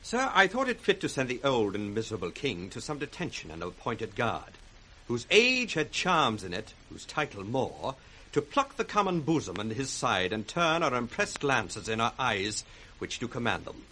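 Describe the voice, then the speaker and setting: A villainous young lord in a vintage radio tragedy. His voice is very sharp and hugely animated, theatrical and commanding, with faint noise on the old recording. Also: mid-range voice, normal speed, rough recording. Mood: smooth, guarded. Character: ambitious, cold, scheming villainous young nobleman. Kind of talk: 1960s radio Shakespeare acting.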